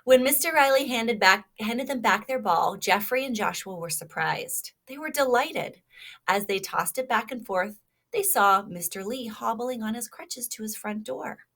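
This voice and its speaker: A woman's voice: a little high, expressive, measured pace, a bit bright. A woman reading a children's story aloud expressively, stopping to prompt her young listeners with questions. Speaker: storybook reader.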